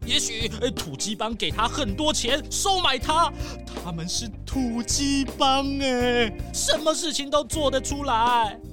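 A nervous rich squirrel gentleman, played by a woman, high-pitched and swinging up and down as he frets. He stammers and exclaims with theatrical fright, as in a children's detective story. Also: expressive, measured pace, hardly any pauses, over music. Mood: suspicious. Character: nervous, paranoid rich squirrel tycoon, male.

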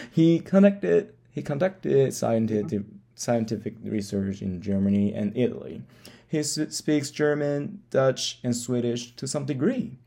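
A man hosting a podcast interview with halting delivery, often stumbling over and repeating words, in a gravelly voice. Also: mid-range voice, dark tone. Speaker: podcast host. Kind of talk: interview, reading a guest introduction then asking questions.

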